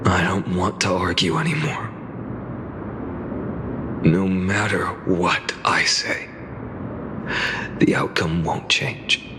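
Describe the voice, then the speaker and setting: A man's voice: steady tone, background noise. An actor playing a weary, resigned man worn down by endless cycles in a video game, speaking solemnly. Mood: weary, firm. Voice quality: low, gravelly. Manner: slow, solemn, measured. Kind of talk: dramatic video game acting. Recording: long reverb under music.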